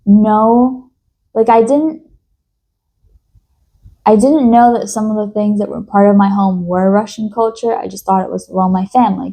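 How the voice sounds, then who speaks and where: A young woman from New York talks in a slow, reflective personal monologue, thoughtful and hesitant, with many fillers and restarts. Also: mid-range voice, creaky, warm tone. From United States. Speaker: vlogger.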